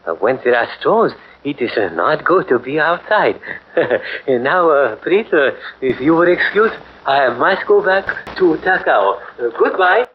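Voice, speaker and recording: An actor playing a polite, evasive male photographer in a 1940s radio drama, speaking haltingly with many filler sounds. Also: fairly high pitch, very animated, slight background noise. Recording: dull old radio broadcast.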